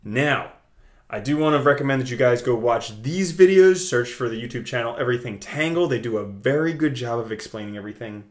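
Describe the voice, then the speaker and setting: A young man hosting a casual video, talking through his screen in a chatty, jokey way with big swings in loudness. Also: medium pitch, fairly quick. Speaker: crypto video host. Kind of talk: casual screen-share commentary.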